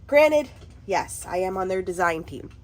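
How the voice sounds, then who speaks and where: A woman with a congested cold, chatting bubbly and excitedly at her craft table in a casual haul video, laughing often. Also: on the low side, a little slow. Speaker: craft vlogger.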